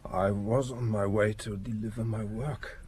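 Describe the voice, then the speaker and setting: Very husky, weakened wounded man. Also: fairly low pitch, fairly even intonation, a little slow, darkish tone. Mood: weak, pained. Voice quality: husky, breathy. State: weak, faint from injury. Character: wounded, weakened man straining to answer questions. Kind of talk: audio drama acting.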